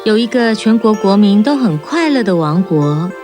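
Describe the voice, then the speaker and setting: A woman narrating a children's fairy-tale cartoon in a clear storybook manner. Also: medium pitch, very animated, normal speed. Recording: narration over prominent music.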